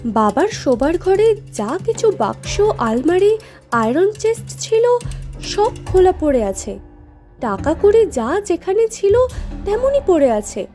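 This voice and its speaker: Casting: an earnest girlish daughter in radio drama; unhurried, animated delivery with drawn-out phrases. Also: extremely high pitch, soft background music, heavily acted. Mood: puzzled. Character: young Burmese woman, earnest, puzzled daughter. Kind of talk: Bengali radio drama acting.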